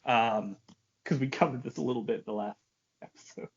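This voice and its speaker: A man's voice: medium pitch, fairly quick, slightly rough, highs cut off. A man co-hosting a podcast, chatting casually about films in a joking, profane way. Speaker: podcast co-host.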